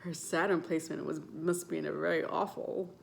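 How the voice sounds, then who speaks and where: Stuffed-up woman vlogger with a low voice, loose and rambling delivery, filler-heavy, slight room hiss. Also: steady tone, fairly quick, creaky. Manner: rambling, frequent ums and self-corrections. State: stuffed up nose. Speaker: tarot and astrology vlogger. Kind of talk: casual talk to camera.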